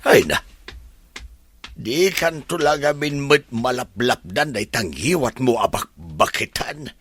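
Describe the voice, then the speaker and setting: A man's voice: medium pitch, lively intonation, takes it slowly, fairly bright tone, breathy edge, dry recording, heavily acted. An actor playing a kindly middle-aged father who stands up for his daughter in an Ilocano radio drama. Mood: exasperated.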